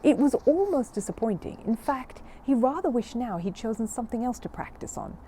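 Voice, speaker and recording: A woman reading a children's story aloud in an expressive, amused storybook style. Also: a little high, a little fast, a little hiss or hum.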